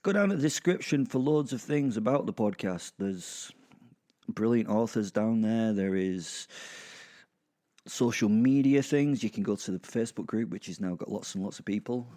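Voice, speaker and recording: Low-voiced man, rambling podcast host. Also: normal speed, mellow. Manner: rambling, offhand. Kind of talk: casual episode introduction.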